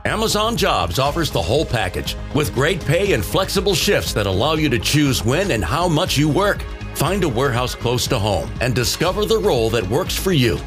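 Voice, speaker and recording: Animated male ad announcer over music. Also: medium pitch, normal speed, few pauses. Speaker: commercial announcer. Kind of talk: scripted radio ad read.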